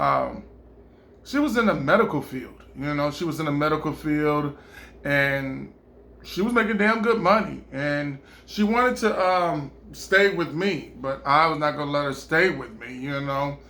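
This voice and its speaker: A man's voice: on the high side, sharp tone. A man hosting his own vlog, telling a personal story in a casual, rambling way, full of ums and you-knows.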